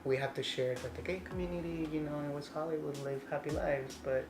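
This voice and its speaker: A man's voice: on the high side, steady tone, fast, noisy background. Passionate man from Mexico in echoey room. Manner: passionate, emphatic, says "right?" and "girl". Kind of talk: casual conversation. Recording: echoey room with music behind.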